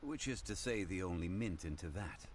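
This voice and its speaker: A man playing a composed, courtly and learned gentleman in a video game, speaking in a formal, measured, lecturing way. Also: lively intonation, slight background noise. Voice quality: low, measured voice. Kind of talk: video game dialogue acting. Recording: game music behind the voice.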